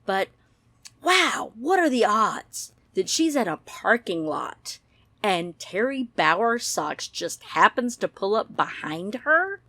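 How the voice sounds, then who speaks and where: A woman's voice: medium pitch, very animated, takes it slowly, pushed and bright, dry recording. Rambling woman, close dry sound. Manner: blunt, rambling, mild swearing. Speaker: true-crime YouTube commentator. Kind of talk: casual opinionated commentary.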